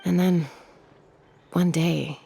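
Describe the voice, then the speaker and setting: A woman playing a calm, reflective, easygoing young woman raised in the wild, in a video game dub. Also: fairly low pitch, extremely slow, vocal fry, a little hiss or hum, exaggerated voice.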